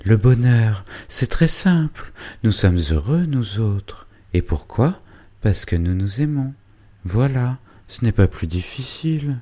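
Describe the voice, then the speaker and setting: A man's voice: medium pitch, animated, slow pace, dark tone, pitched above the performer's own voice. A man reading a storybook voicing a naive young woman who dreams aloud, airy and rapturous. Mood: dreamy.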